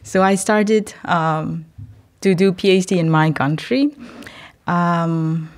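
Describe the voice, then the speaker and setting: A young woman from Myanmar, not a native English speaker, answering interview questions as a PhD student, thoughtful and laughing softly between phrases. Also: lowish voice, takes it slowly. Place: indoor podcast recording.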